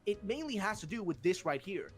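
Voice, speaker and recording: A man's voice: sits high, very animated, fairly quick, soft background music. A young man with a Swedish accent, hosting a casual discussion and rambling with many fillers and restarts. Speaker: host.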